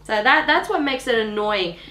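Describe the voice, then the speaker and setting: A woman's voice: on the high side, lively intonation, moderate pace, bright, forceful tone, roomy sound. A woman in her twenties with an Australian accent, chatting casually and bluntly, with colloquial opinions. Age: 20s.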